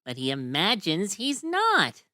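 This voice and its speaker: A man's voice: sits high, wildly swooping pitch, measured pace, pushed and bright, no room sound, studio quality, heavily acted. A man voicing a cheerful, naive young male cartoon sponge who talks in an eager, sing-song way, in a comic fan dub.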